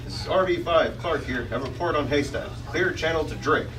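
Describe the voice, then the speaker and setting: Man as businesslike radio operator, space station calls, higher male voice, distant, noisy room. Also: a little fast, close to an everyday voice. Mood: formal, brisk. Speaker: actor reading at a table read. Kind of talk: script table read, radio-call dialogue.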